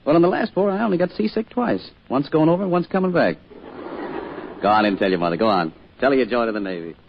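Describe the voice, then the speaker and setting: An actor playing a middle-aged American small-town father in an old-time radio drama, gruff but kindly, with a dry, folksy manner. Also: medium pitch, quick pace, lightly acted. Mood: gruff, fond. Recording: dull, hissy old radio recording.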